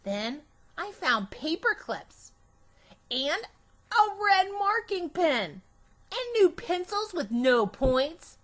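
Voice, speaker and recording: A woman voicing a chatty, imaginative, bossy little girl in an animated children's storybook read-aloud, with childish, emphatic phrasing. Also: very high voice, slow pace, voice pushed up, full character voice. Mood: chatty, pleased.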